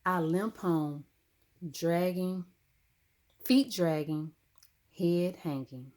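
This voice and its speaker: A woman narrating a children's story expressively, with dramatic, deliberate phrasing. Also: fairly low pitch, darkish tone. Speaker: storybook reader.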